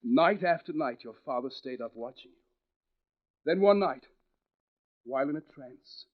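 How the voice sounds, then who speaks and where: An actor playing an intense, accusing man with deliberate, insistent menace in a 1940s radio mystery, on a dull vintage recording. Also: mid-range voice, lively intonation, close to an everyday voice. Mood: grave, insistent.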